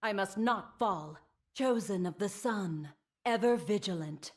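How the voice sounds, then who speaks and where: A woman's voice: medium pitch, a little slow, close to an everyday voice. A woman playing a proud, vigilant sun warrior in a video game, declaiming her lines with resolve. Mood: resolute. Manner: declamatory, resolute battle delivery.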